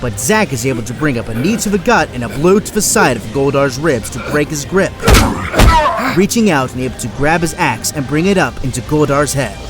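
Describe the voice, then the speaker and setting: A man narrating an action audio drama in a dramatic, scripted style. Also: medium pitch. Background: loud orchestral music.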